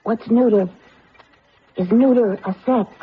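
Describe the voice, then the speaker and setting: A woman's voice: on the high side, measured pace, slightly rough. A woman playing an insistent, childlike computer with feelings, questioning naively in a radio drama. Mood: naive, curious. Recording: muffled narrowband radio, faint noise.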